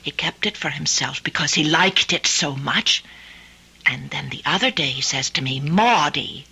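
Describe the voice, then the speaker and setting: Give a classic radio play its devoted housekeeper. She is plain-spoken and earnest, with a very raspy, sharp-edged voice set a little low. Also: moderate pace, heavily acted. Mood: earnest, confiding. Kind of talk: old-time radio drama acting.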